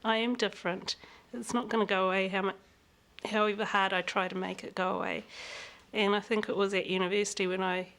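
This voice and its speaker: A woman's voice: mid-range voice, level tone, a little fast, a bit bright. Candid woman with a New Zealand accent. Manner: candid and reflective, laughs readily. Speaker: interview guest. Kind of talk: frank personal conversation.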